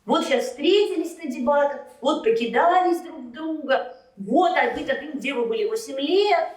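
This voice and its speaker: A woman's voice: high voice, lively intonation, moderate pace, distant mic. Combative woman debating in a roomy room. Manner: combative, sharp retorts. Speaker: debate candidate. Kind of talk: heated political debate. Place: Kyiv.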